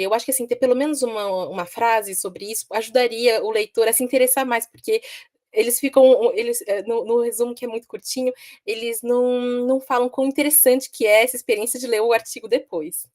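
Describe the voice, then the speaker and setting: A woman's voice: fairly high pitch, a little mellow. A Brazilian woman giving informal academic commentary, often repeating words as she goes. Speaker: research discussant.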